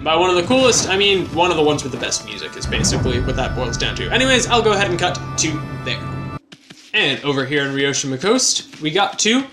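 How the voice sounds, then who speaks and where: A man's voice: on the high side, big pitch swings, brisk. A man commenting on gameplay as a let's player, chatty and joking. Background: game music.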